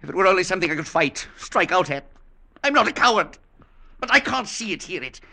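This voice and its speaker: A man playing a tormented, cynical professor in an old radio drama, with a tense, bitter, dramatic delivery and a creaky edge. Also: high voice, very animated, brisk, bright, forceful tone, exaggerated voice. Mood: anguished disgust. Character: tormented, cynical professor haunted by an unseen clinging presence. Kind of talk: 1940s-50s radio drama acting. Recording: vintage radio broadcast sound.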